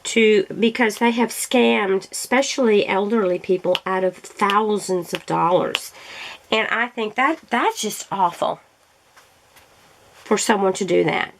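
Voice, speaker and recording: Woman vlogger, fry-edged voice, chatty and meandering while crafting, throat roughened by allergies. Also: mid-range voice, measured pace, slight background noise, low-quality recording. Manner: rambling, chatty, self-correcting. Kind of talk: casual chat while crafting.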